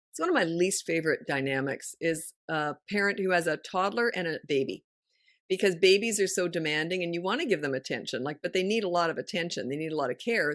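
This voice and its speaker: A middle-aged woman with a fairly low voice gives frank, no-nonsense parenting advice in a casual podcast chat. Her delivery is blunt and direct. The recording is dry and close, with no room sound. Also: no echo. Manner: blunt, no-nonsense, laughs often. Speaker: parenting coach and podcast host.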